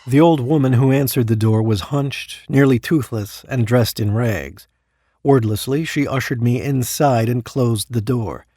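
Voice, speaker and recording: A man playing an ambitious, self-important damned soul in an audio drama, speaking in a formal, deliberate way. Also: medium pitch, dark tone, no echo, close to an everyday voice. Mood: measured storytelling. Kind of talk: audio drama acting and first-person narration.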